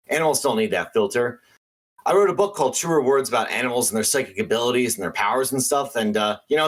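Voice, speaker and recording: A man's voice: medium pitch, a bit bright, slightly rough. A man playing a matter-of-fact host of a paranormal call-in radio show. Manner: matter-of-fact about bizarre things. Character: folksy conspiracy radio host of weird small-town stories.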